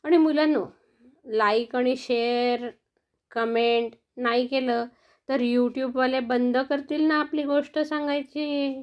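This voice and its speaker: A woman's voice: sits high, little pitch movement, slow. A woman telling a bedtime story to children in a sing-song, coaxing manner, often asking them questions.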